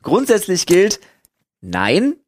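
A man's voice: high-pitched, huge pitch swings, slow, no echo, studio quality. A man hosting a podcast, chatting casually and enthusiastically in colloquial, slangy language, as in a sponsor read. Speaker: podcast host.

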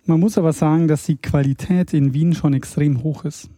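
A man co-hosting a casual podcast chat, relaxed and chatty. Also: fairly high pitch, moderate pace, no room sound, clean studio sound. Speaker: podcast co-host.

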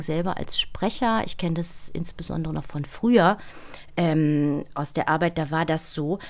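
A woman speaking in a reflective interview, telling things emphatically and pausing to search for words. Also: lowish voice. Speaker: interview guest, voice actress and dubbing director.

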